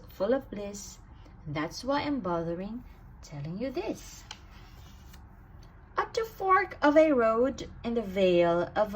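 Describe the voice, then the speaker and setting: Expressive, husky-voiced woman reading a storybook. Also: a little high, low-quality recording. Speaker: storybook reader. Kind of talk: read-aloud children's bedtime story in rhyme.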